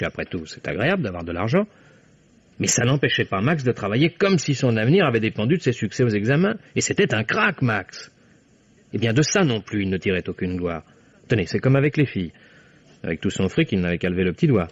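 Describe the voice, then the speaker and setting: A dull, old-fashioned radio recording carries a young man with a fairly low voice. He is a loyal, earnest friend and lawyer who speaks emphatically, in the theatrical manner of a fifties radio play. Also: sing-song, fairly quick, breathy edge. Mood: earnest, admiring. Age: young adult. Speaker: radio drama actor. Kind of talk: 1950s French radio drama acting.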